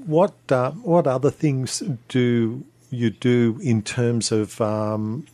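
A man hosting a radio interview, putting conversational questions in a hesitant, halting way. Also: mid-range voice, mellow.

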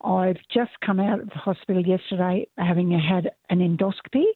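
A woman with a croaky, hoarse voice, calling in to a radio show, listing her problems with many fillers. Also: on the low side, fairly even intonation, fairly quick, dry recording. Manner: lists details with many fillers. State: frustrated. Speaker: radio caller. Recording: phone line.

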